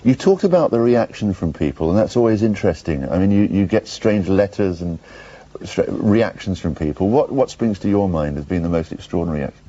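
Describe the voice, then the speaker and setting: A man's voice: low voice, lively intonation, a little fast, dark tone, slightly rough. A man interviewing on morning TV, playful and chatty with theatrical asides. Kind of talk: breakfast TV interview. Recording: dull lo-fi broadcast tape.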